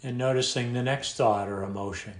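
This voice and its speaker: A man with a medium-pitched voice guides a meditation in an echoey room. He speaks calmly and without hurry, leaving long pauses between short, instructive phrases. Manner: calm, unhurried, with long pauses. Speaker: meditation teacher.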